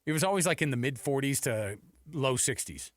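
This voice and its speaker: A man's voice: mid-range voice, animated, a little fast, no echo. Informal American sports radio man, dry sound. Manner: informal, rambling, conversational asides. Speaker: sports talk show co-host. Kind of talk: conversational sports talk.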